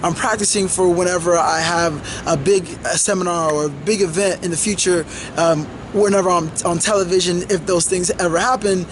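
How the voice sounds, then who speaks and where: High-voiced young man, earnest pep talk. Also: steady tone, a little fast, noisy background. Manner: earnest, many fillers and restarts. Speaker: vlogger. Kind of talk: motivational monologue to camera.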